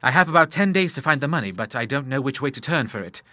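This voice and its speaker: A man's voice: medium pitch, expressive, fast talker, pushed and bright, no echo. A man voicing an earnest, honourable grown son in an audiobook, speaking formally and with emphasis. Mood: earnest, worried. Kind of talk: audiobook character voice.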